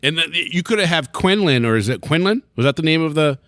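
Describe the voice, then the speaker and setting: Man, podcast co-host, bright lively voice, dry close sound, rambling casual talk. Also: fairly high pitch, fairly quick, no echo. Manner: rambling, laughs often, swears casually. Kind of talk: casual comics chat.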